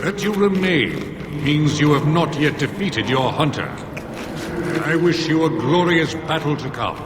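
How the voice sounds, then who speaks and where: An actor voicing a proud, stern warrior spirit in a video game, speaking formally and grandly through otherworldly reverb. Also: male voice, fairly high pitch, lively intonation, a little slow. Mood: stern, scornful. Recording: long echoing reverb, noisy background.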